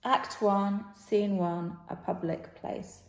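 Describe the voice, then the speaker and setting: A woman doing an impromptu amateur dramatic reading of a play, halting at times and shifting between hushed and projected delivery. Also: mid-range voice, fairly even intonation, creaky. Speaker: amateur reader playing all characters. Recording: roomy, echoing sound.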